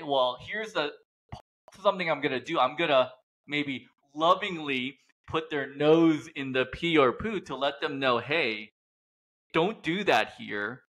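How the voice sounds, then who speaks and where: Podcast host guy, bright sharp voice, kinda high, rambles and fixes himself mid sentence. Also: rough recording. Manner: rambling, self-correcting. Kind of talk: podcast conversation.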